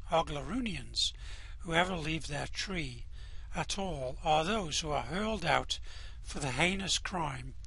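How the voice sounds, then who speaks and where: A man's voice: fairly high pitch, sharp tone, husky, low-quality recording. A man narrating in a dry, wry manner as part of an amateur radio-play reading.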